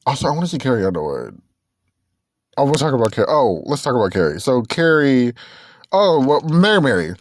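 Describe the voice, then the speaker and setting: Chatty, animated man in his twenties. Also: mid-range voice, brisk, no room sound. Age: 20s. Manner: chatty, rambling, self-correcting, sings snatches of songs. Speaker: podcast host. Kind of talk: casual solo podcast chat.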